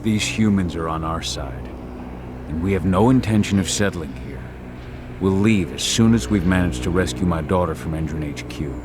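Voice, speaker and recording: A man performs a grim werewolf fighter from a video game, his voice very low and his words terse and stern. He sounds determined, and there is clear noise behind him. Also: moderate pace, lightly acted. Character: gruff determined male werewolf warrior, father seeking his daughter. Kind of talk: video game cutscene acting.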